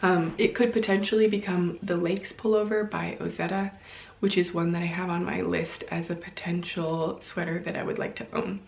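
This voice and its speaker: A woman hosts a casual vlog in a roomy, echoing space. Her voice is marked by noticeable creak and fry. She thinks aloud as she talks, wandering a little and correcting herself along the way. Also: lowish voice, fairly even intonation, normal speed, a little hiss or hum. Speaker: knitting vlogger and host. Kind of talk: casual chat to camera. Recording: roomy, echoing indoor sound.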